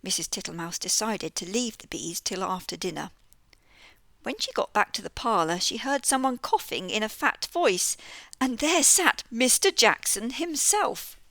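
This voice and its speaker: A British woman reading a children's story aloud in an expressive, lilting way. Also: mid-range voice, moderate pace, no echo. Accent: British English. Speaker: audiobook reader.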